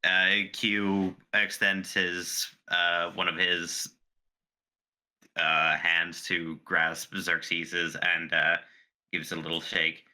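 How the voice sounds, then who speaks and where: A man playing a tabletop roleplaying game, speaking in character with hesitant pauses and many fillers. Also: deep voice, level tone, pushed and bright. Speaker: player.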